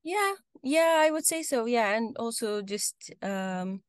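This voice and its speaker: A young woman from Romania with a Romanian accent, answering interview questions casually on a video call, often pausing with ums and restarting sentences. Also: on the high side, expressive, clear, resonant tone. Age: young adult. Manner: hesitant, many ums and restarts. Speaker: guest. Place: home room, video call.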